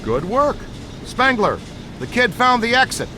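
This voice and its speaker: A man playing an eager, earnest ghost hunter with boyish enthusiasm and an animated delivery in a video game. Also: extremely high pitch, a little slow, background noise, full character voice. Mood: eager, encouraging.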